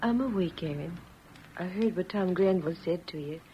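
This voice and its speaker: A woman playing a gentle, steadfast pioneer wife, speaking softly and earnestly in an old-time radio western. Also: lowish voice, normal speed, lightly acted. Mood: gentle, resigned. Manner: soft, earnest, dramatic. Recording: muffled, hissy vintage radio recording.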